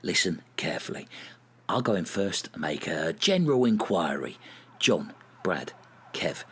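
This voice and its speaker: An actor playing a self-serious, easily exasperated male robbery gang leader in a foul-mouthed audio comedy, deliberate and deadpan. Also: low voice, husky, full character voice. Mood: conspiratorial.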